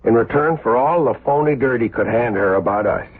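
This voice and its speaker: A man's voice: mid-range voice, brisk, husky, natural voice. An actor playing an intense, earnest male surgeon with emphatic delivery in an American 1970s radio drama. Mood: grim, urgent. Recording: muffled, lo-fi old radio broadcast.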